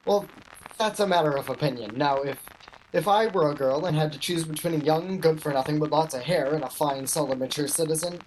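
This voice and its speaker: A woman acting in a radio play as an awkward, slyly teasing male shop clerk, with a halting, understated delivery. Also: sits low, a little mellow, slight background noise, close to an everyday voice, rough recording. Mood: teasing, mock-sympathetic. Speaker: amateur actor.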